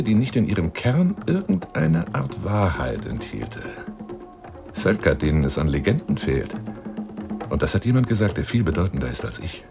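A man's voice: deep voice, gravelly, full character voice. An actor playing an eccentric, obsessive lion hunter who woos a woman by telephone in an effusive, insinuating radio play monologue. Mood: boastful storytelling. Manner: effusive, insinuating, swinging between whispers and exclamations.

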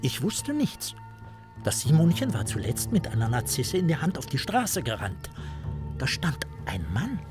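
An actor in a German radio play playing a courteous, self-important man who tells tales in a formal, drawn-out, theatrical manner. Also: a little high, wildly swooping pitch, over music. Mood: ominous. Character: Mr Hund, courteous, self-important storyteller savouring his sinister tale.

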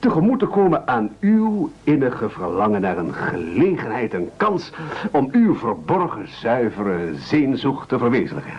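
Scheming pompous orator, radio play, formal flowery delivery, animated pitch, dull lo-fi sound. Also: male voice, moderate pace, a little hiss or hum. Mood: flattering, grandiose. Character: pompous, scheming, silver-tongued male leader of castaways.

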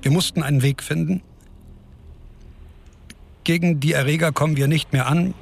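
An actor playing a resolute scientist and doctor in a radio drama, speaking solemnly and emphatically in a public-speech style. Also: male voice, on the high side, fairly even intonation, normal speed, gravelly. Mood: insistent justification. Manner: solemn, emphatic, repeating phrases. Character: resolute male scientist and doctor defending his experiment. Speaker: scientist and physician character.

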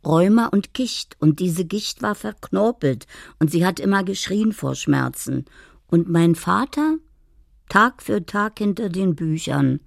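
A woman's voice: on the low side, expressive, measured pace, gravelly, no echo, clean studio sound. An actress playing a dreamy woman in care who tells her memories poetically in a radio drama. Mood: wistful, remembering. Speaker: narrator and lead character.

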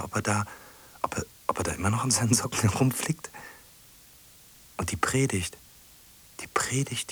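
A man's voice: lowish voice, slightly rough. An actor playing a hesitant, lonely, obsessive man who stumbles and repeats himself in a radio play. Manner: halting, repeats himself, muttering to himself.

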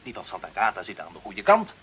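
A man's voice: fairly low pitch, wildly swooping pitch, quick pace, sharp tone, husky, exaggerated voice. A man acting as a cynical, grumbling poor bricklayer in a radio play, sardonic and colloquial. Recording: dull old radio recording, faint hiss.

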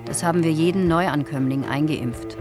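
An actor playing an old man who survived the camps, giving a deliberate, reflective witness account in a radio drama. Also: fairly high pitch, natural voice. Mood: haunted recollection. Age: older. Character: old male concentration camp survivor, haunted, plainly recalling. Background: guitar music.

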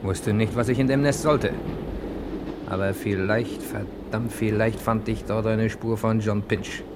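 An actor playing a hard-boiled, cocky hero who narrates a German radio crime play in clipped slang, talking straight to the listener. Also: male voice, fairly low pitch, fairly even intonation, unhurried, background noise. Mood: wary, gruff.

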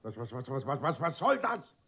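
Blustering, husky, pompous bourgeois father. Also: medium pitch, very animated, normal speed, sharp tone, heavily acted. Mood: indignant, flustered. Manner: blustering, imperious, easily flustered, stammering when indignant. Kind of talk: theatrical classic comedy radio play acting. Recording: dull, band-limited old radio recording.

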